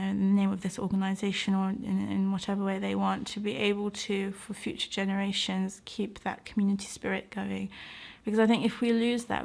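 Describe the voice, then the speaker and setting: A woman's voice: mid-range voice, creaky, a little mellow. A middle-aged British woman speaking reflectively in an interview, earnest and thoughtful, often hesitating as she finds her words. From United Kingdom. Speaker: interviewee, doctor and community organiser.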